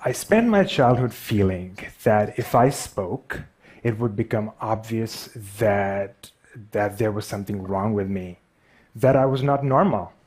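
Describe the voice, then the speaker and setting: A man's voice: on the low side, animated, unhurried, a little mellow. A man with a Pakistani accent telling a personal story, a speaker who stutters and pokes fun at himself. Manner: stutters, self-deprecating. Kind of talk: storytelling talk.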